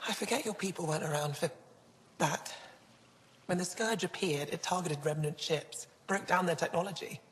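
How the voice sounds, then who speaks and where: Woman playing low-voiced young alien curator, reverberant. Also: moderate pace, close to an everyday voice. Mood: thoughtful. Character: young alien female museum curator, earnest, scholarly, wistful. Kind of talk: video game dialogue. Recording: long hall reverb.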